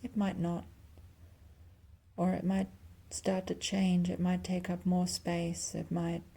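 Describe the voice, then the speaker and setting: A woman leading a guided meditation softly and calmly, with long pauses and a breathy, near-whispered delivery. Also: lowish voice. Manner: soft, calm, unhurried instruction with long pauses. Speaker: clinical psychologist guiding a meditation.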